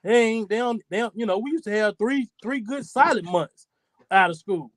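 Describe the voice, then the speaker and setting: A man's voice: sits high, moderate pace, a bit bright, no room sound. A man in his forties hosting a podcast, talking in a loose, profane, rambling way. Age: 40s. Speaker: podcast host. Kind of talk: casual chat.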